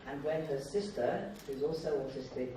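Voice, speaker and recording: An older man with an Australian accent giving an informal public talk, conversational and self-deprecating, in short halting phrases. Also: on the high side. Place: indoor lecture hall. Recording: very echoey hall, distant mic, noisy.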